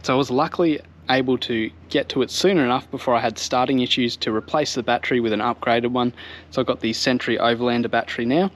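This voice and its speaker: A man's voice: mid-range voice, steady tone, fast talker. A man in his thirties with an Australian accent, giving a casual, explanatory product review to his viewers outdoors. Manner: informal explanatory walk-through, addressing viewers. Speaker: vlogger reviewing vehicle gear. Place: outdoors on a home driveway by a vehicle.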